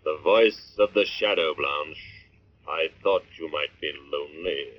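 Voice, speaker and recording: Creepy invisible avenger, really low and slow, taunting, old muffled radio show. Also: male voice. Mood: sinister taunting. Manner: taunting, menacing, deliberate questioning. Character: unseen male avenger, sinister, taunting, omnipresent voice of justice. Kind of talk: 1940s radio drama acting. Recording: muffled vintage radio recording.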